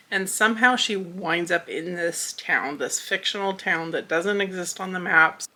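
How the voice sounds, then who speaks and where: A woman with a bright, clear-toned voice sitting a touch low in pitch gives a relaxed, chatty update on her reading. A lingering cough leaves her slightly hoarse, but she stays upbeat and conversational throughout. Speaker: book vlogger. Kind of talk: casual chatty book update.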